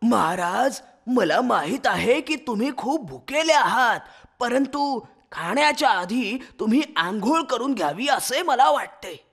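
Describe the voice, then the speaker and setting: A woman's voice: on the high side, expressive, a little slow, slightly rough, pitched below the performer's own voice, heavily acted. A woman voicing a sly, scheming male fox who serves as the lion's minister, with a coaxing, sweet-talking manner. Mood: pleading. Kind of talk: storybook character voice.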